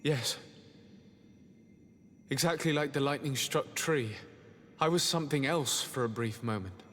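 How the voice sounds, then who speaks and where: An actor voicing a courteous, gentle young male diplomat in a video game, speaking in a formal, measured way. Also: mid-range voice, in a large hall. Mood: dreamy, grave.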